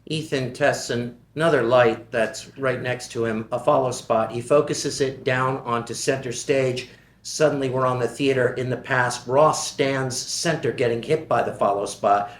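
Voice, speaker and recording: A man reading the stage directions at a table read of a screenplay, in a measured, even way. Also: medium pitch, roomy sound.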